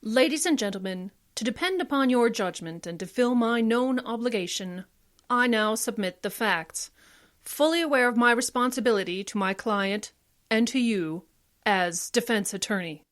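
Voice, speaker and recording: A woman's voice: on the high side, animated, fairly bright tone. Woman playing a composed, formal lady attorney. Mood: solemn. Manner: formal, measured. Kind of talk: classic radio drama acting.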